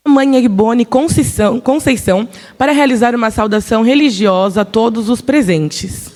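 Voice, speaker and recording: Man, master of ceremonies, formal, measured, high voice, long hall reverb. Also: darkish tone. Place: large auditorium.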